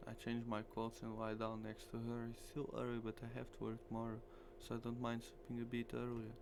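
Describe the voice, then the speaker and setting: Man, gravelly, visual novel narration, streamer, noisy recording with hum. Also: mid-range voice, completely flat delivery, fairly quick. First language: Portuguese. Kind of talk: reading a visual novel aloud.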